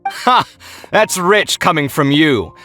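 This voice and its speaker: An actor playing a sarcastic, easily exasperated young housemate in a game cutscene. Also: male voice, a little high, animated, slow pace, pushed and bright, soft background music, exaggerated voice.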